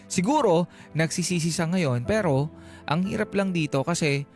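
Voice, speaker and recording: A man's voice: a little high, moderate pace, darkish tone. Filipino man hosting radio advice, music underneath. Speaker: radio advice show host. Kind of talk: conversational radio counseling. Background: background music.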